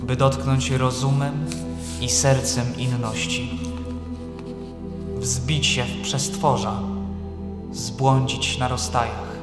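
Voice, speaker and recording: A solemn male poet, played by an actor, recites reflective verse for a radio play. He speaks slowly in a long, echoing reverb, with loud music behind him. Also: mid-range voice, flat delivery, lightly acted. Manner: measured, reflective, poetic recitation. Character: solemn male poet-narrator writing verse among mythic deities. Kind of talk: audio drama verse narration.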